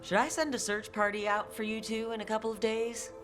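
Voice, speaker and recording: A woman's voice: on the high side, flat delivery, a little fast, over music, lightly acted. An actress playing a wry, easygoing female adventurer who leads her companions in a video game. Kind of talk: video game character dialogue.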